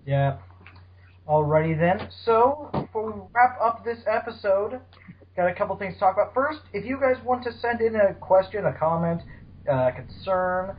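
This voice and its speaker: A man's voice: high voice, animated, moderate pace, slight background noise. A man hosting a casual podcast chat, sarcastic and mock-dramatic, swearing freely. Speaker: podcast host. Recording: dull, lo-fi podcast audio.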